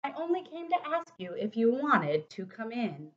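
A woman's voice: a little high, very animated, moderate pace, highs cut off. A woman voicing a friendly, hearty buffalo from a children's storybook, in a jovial, inviting manner.

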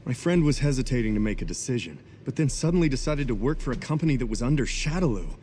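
A man's voice: medium pitch, lively intonation, quick pace, darkish tone, natural voice. A man voicing a friendly young game adventurer with a mid-range voice. He is polite, casual and earnest, chatting over noticeable ambient noise. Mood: wistful, chatty. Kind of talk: video game cutscene acting.

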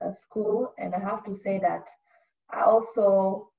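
Measured woman from Cameroon, distant and muffled over a video call. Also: mid-range voice, fairly even intonation, vocal fry. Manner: measured, hesitant with fillers. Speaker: diversity and inclusion professional. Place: indoor room with bookshelf. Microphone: webcam on video call.

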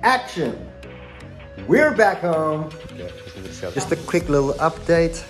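Young man, casual food vlog, slangy, relaxed, phone camera. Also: a little high, slow pace, faint music behind. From South Africa. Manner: laid-back, slangy, enthusiastic. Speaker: vlogger. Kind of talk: casual food tasting chat. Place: restaurant, then home living room.

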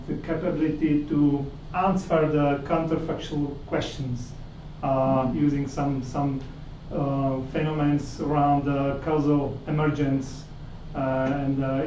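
A man's voice: on the high side, level tone, a little slow, dark tone, muffled recording. A man from the Czech Republic with a Czech accent, introducing himself informally and searching for words. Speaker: meetup attendee. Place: indoor meetup room. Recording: distant, echoey room, faint noise.

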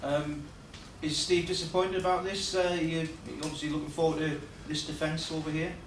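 A man's voice: a little high, little pitch movement, normal speed, far from the mic. A man asking questions as a reporter at a press conference. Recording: echoey room, off-mic, clear background noise.

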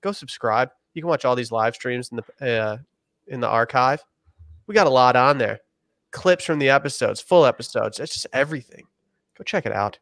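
A man's voice: medium pitch, lively intonation, moderate pace, a bit bright, dry recording. A young man hosting a casual podcast over a video call from his home, laid-back and prone to stammered restarts. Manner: laid-back, repeats words when starting a thought. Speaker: podcast host. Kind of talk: casual chat. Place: home room, indoors.

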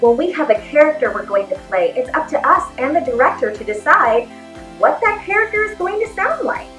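A woman in her thirties giving an encouraging, animated lesson for children as a theatre performer. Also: sits high, fairly quick. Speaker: theatre performer teaching character voices. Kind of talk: instructional video for children. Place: small indoor room. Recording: echoey room, faint music.

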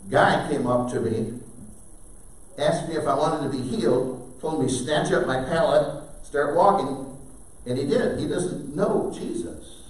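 An older man preaching a sermon from a pulpit, animated, with sudden loud bursts. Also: medium pitch, a little hiss or hum. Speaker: pastor. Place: church sanctuary. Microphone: far camera, preaching at a wooden pulpit. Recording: very echoey sanctuary heard from a distance.

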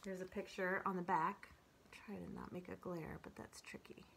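A woman in her forties reading children's poems aloud and chatting between them in a gentle, expressive way, as a storyteller speaking to young children. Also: lowish voice, moderate pace, vocal fry, slight background noise. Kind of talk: read-aloud of children's poems with casual commentary. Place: small furnished room at home, indoors.

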